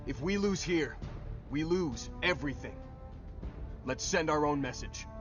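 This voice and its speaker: A man playing a stoic, resolute supersoldier team leader with terse, steady delivery in an animated sci-fi film. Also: fairly high pitch, a little slow, soft background music. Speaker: squad leader.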